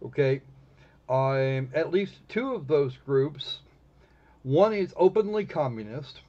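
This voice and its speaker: Snarky higher-voiced man on a lo-fi stream. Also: lively intonation, warm tone. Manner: snarky, halting with pauses. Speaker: livestream host. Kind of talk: casual live commentary on a visual novel. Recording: dull lo-fi microphone sound.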